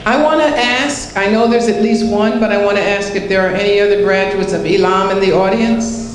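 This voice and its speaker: A woman's voice: mid-range voice, fairly even intonation, fairly quick. A woman hosting a live public event, making announcements and repeating words for emphasis. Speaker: event host. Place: large packed indoor hall. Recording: cavernous echoey hall, loud crowd noise.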